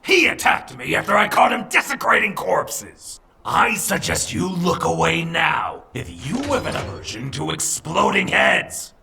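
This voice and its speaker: A man playing a violent, trigger-happy robot gunman in a comic dub, taunting and swinging between growls and shouts. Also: a little high, animated, a little slow, vocal fry, hardly any pauses, slight background noise, full character voice. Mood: violent, menacing. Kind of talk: comic book dub acting.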